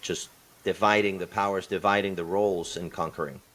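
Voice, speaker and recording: A man from Peru, answering interview questions as a podcast guest in a thoughtful way, pausing and restarting often. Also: low-pitched, fairly even intonation, fairly bright tone. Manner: thoughtful, many fillers and restarts. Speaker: podcast guest, construction company partner.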